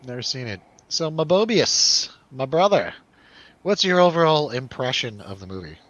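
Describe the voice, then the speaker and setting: A man hosts a loose, jokey review chat in a bright, punchy voice of middling pitch. He teases as he talks. The sound is dry and a little dull. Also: sing-song, normal speed, dry recording. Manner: joking, teasing. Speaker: host of a film review show. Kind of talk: casual jokey panel chat.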